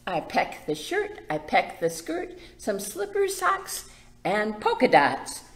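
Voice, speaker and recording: A woman's voice: mid-range voice, wildly swooping pitch, slow, fairly bright tone, exaggerated voice. A woman voicing an eager, playful young woodpecker in a bouncy, singsong picture-book read-aloud. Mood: busy, gleeful. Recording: echoey room with background noise.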